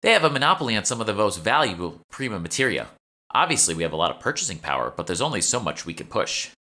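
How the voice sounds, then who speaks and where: A man's voice: lowish voice, big pitch swings, quick pace, bright, forceful tone, pitched above the performer's own voice. A man reading an audiobook, voicing a chatty, eager young female shopkeeper who is polite and salesy. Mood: businesslike. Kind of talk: audiobook character dialogue.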